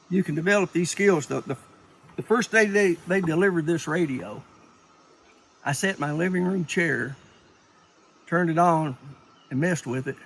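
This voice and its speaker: A man's voice: a little high, a bit bright. A man giving an informal, plain-spoken, rambling preparedness talk to viewers, in a folksy way. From Appalachia, United States. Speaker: preparedness vlogger.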